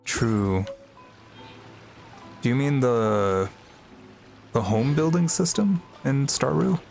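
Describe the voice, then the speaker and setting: A man's voice: mid-range voice, takes it slowly, creaky, a little mellow. A man livestreaming a video game, chatting casually and musing aloud in a laid-back way. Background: game music.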